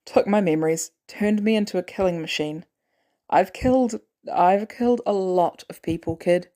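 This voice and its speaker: A woman voicing a weary, guilt-ridden male former assassin in an audio drama, terse and hesitant, with dry, protective gruffness. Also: medium pitch, moderate pace, mellow, close to an everyday voice. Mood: pained, weary.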